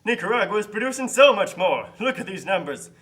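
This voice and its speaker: A smug, upbeat pitchman played by a man pushes his case in a puppet show. The voice is high for a man and sharply bright. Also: big pitch swings, brisk. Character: smug pro-free-trade salesman type, pushy and upbeat. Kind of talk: scripted puppet show dialogue.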